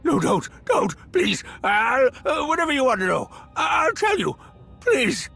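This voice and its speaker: A man's voice: very high-pitched, wildly swooping pitch, a little slow, gravelly, sharp tone, shouting, faint music behind, full character voice. A man playing a cowardly, whining, self-serving slicer in a video game, stammering and pleading nervously. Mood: frightened pleading. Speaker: captured comms technician informant. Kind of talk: video game cutscene acting.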